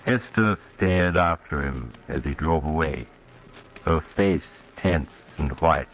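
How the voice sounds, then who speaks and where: A man's voice: very deep voice, slow pace, fairly bright tone, exaggerated voice. A man playing an ominous storyteller, narrating an old-time radio horror tale. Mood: grave, suspenseful. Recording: noisy old radio recording.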